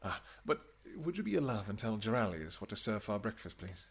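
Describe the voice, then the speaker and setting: A man playing a tender, regal vampire king in a romantic fantasy audio roleplay, speaking softly and courteously with a halting delivery. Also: on the low side, sing-song, slightly rough, exaggerated voice. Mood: affectionate, relaxed. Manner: soft, courtly, hesitant, halting phrasing. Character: tender male vampire king, winged, regal and devoted lover.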